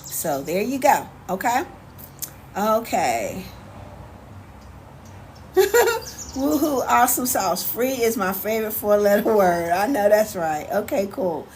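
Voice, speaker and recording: An American woman chatting casually on a livestream as host, answering viewers in an animated, sassy, colloquial way. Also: mid-range voice, slow pace, long pauses. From United States. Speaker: live stream host. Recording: clear background noise, ambient sound.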